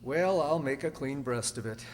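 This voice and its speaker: A man playing an earnest, anxious young husband in an amateur radio drama, halting and emphatic as he pleads. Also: medium pitch, close to an everyday voice. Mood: explaining. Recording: echoey hall, faint hum.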